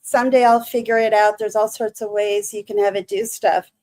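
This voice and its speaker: A woman with a Michigan accent hosting a casual livestream, chatting to viewers in a halting, nervous way full of restarts and fillers. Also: mid-range voice, flat delivery, a little mellow. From Michigan, USA. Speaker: livestream host.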